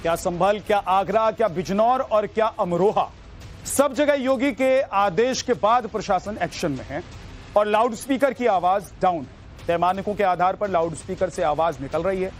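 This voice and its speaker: A man delivers a TV news voice-over in a notably high-pitched voice. His delivery is emphatic and dramatic, framed as an anchor introducing reports, with a light music bed underneath. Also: moderate pace.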